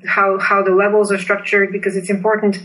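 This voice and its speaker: A woman from Bulgaria, native in Bulgarian, speaking as an interview guest. Her voice is bright and medium in pitch, and she explains things with earnest, deliberate enthusiasm. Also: little pitch movement, poor audio. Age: 30s or older.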